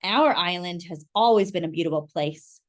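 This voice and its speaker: Woman putting on a gentle old man, lowered voice, slow and grave. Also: lightly acted. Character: kindly old male neighbor, gentle storyteller.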